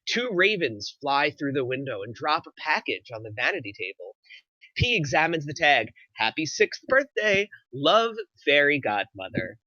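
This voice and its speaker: A man's voice: fairly high pitch, sing-song, normal speed, sharp tone, dry recording. A man reading scripted stage directions as the narrator of a screenplay table read. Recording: slightly dull, dry recording.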